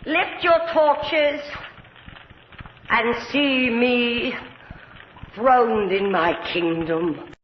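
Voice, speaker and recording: An actress playing a deranged, murderous countess in a radio horror drama, grandiose and theatrical in delivery. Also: female voice, fairly high pitch, extremely slow, long pauses. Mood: exalted madness. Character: deranged noblewoman countess, murderous and devoutly obsessed. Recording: echoey, dull, noisy old radio recording.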